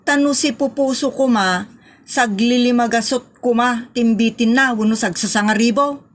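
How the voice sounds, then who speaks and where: A woman's voice: a little high, moderate pace, background noise, close to an everyday voice. A woman with an Ilocano Filipino accent acting a nagging, exasperated rural wife and mother in a comedy audio drama, complaining in exclamations. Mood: indignant.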